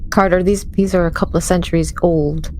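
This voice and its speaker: A woman's voice: on the low side, fairly even intonation, brisk, a little mellow, slight background noise. A woman improvising in-character lines in a tabletop game, speaking firmly and matter-of-factly.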